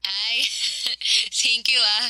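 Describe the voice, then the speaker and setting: A woman's voice: on the high side, lively intonation, drawn-out words, heavily acted, rough recording. An actress playing a sweet, giggly young woman who speaks with coy reassurance in a radio drama. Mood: cheerful. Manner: giggly, coy, gently teasing.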